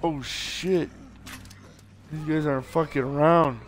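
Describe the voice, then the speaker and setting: A tired, hoarse man giving casual let's play commentary, swearing and yelping at the game. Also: fairly high pitch, fairly even intonation, slow pace, noisy background. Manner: swears a lot, yelps at the game.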